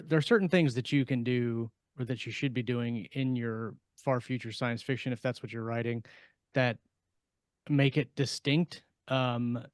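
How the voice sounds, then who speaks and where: A middle-aged man co-hosting a casual panel podcast as an author, explaining things haltingly into a close desk microphone at home. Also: mid-range voice, no echo. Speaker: podcast co-host and science fiction author. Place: small home room lined with shelves. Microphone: close desk microphone with headset, on a video call.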